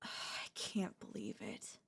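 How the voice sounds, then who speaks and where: A woman playing an anxious, doting and quietly controlling wife in an intimate ASMR audio roleplay, with breathy, hesitant, coaxing delivery. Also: slow. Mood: disbelieving, horrified.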